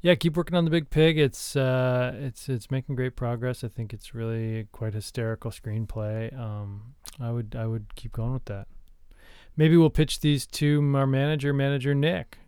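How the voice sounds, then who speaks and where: A man co-hosting a comedy podcast, chatting casually in a deadpan, mock-earnest way. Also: mid-range voice, moderate pace, clean tone, no echo, studio quality. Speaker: podcast co-host.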